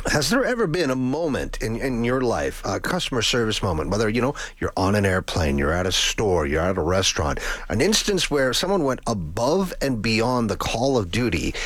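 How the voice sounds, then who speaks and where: Male Canadian talk radio host, middle-aged, lowish pitch, conversational and rambling, dry studio sound. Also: fairly bright tone, no room sound. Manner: chatty, rambling, repeats words.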